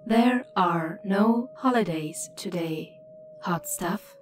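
Slow-talking flirty computer assistant voiced by a woman. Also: medium pitch, faint music behind, close to an everyday voice. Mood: flirty, calm. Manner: unhurried, matter-of-fact with pet names. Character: flirty, obliging female computer assistant on a spaceship. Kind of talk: audio drama acting.

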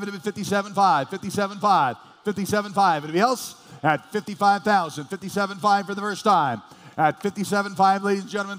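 A man working as an auctioneer, chanting bids in a rapid, repetitive patter and urging on bidders at a live car auction. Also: high-pitched, a bit bright. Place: large auction hall. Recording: hall PA with long reverb.

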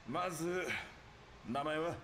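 A man's voice: a little high, a little slow, fairly bright tone, slight background noise. An actor playing a laid-back, world-weary male demon hunter in a video game cutscene. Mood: cool, businesslike.

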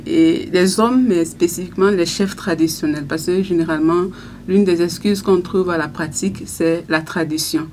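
Young female radio journalist, lower voice, measured and hesitant, studio mic with faint noise. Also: fairly even intonation, a little mellow. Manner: thoughtful, with frequent pauses and restarts. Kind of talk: interview answers. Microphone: studio microphone on a stand.

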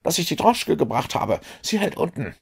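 A man voicing an eager young male street-gang leader, who reports in a brisk, high and dutiful voice. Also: very animated, vocal fry, husky, full character voice.

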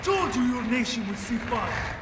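An actor playing a menacing, fanatical terrorist commander in a military game, speaking in measured threats. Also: male voice, very high-pitched, big pitch swings, background noise. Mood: menacing taunt. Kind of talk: cinematic military game villain acting.

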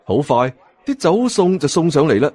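A man with a Cantonese accent narrating an audiobook in a dramatic, expressive storytelling style. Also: a little high, normal speed, no room sound, a faint crowd. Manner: expressive, acts out dialogue. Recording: music bed behind narration. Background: background music.

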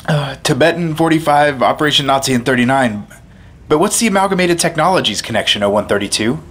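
An actor playing a casual, inquisitive government agent in a radio drama. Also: male voice, a little high, expressive, fast talker, fairly bright tone, breathy edge. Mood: curious, easygoing.

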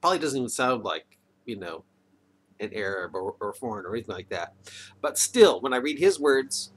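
A middle-aged man talking casually into a webcam microphone at home, hesitant and often trailing off. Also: medium pitch. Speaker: video maker. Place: indoors, wood-panelled room at home. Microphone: computer or webcam microphone, near arm's length. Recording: noisy webcam microphone with room ambience.

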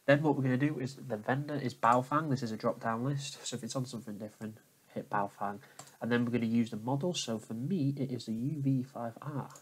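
A man talking viewers through a software tutorial step by step, in an informal, explanatory manner. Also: mid-range voice, brisk, husky. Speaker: tutorial host. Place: indoors at a computer, voice over screen capture.

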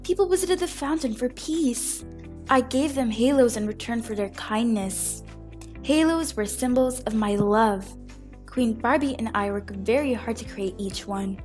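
A woman's voice: on the high side, unhurried, a little mellow, soft background music, lightly acted. A woman voicing an earnest, grieving fountain goddess who speaks in dramatic, pleading speeches in an amateur fan film. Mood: solemn, wistful.

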